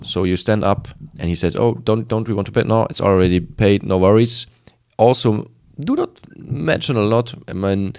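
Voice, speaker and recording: A man with a German accent and a fairly low voice speaks as a sales coach to his viewers. His delivery is informal and emphatic. He repeats points and slips into little bits of acted dialogue. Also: gravelly, darkish tone. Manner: emphatic and repetitive, acting out short bits of dialogue. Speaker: sales coach presenting a video. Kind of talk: informal advice monologue to camera.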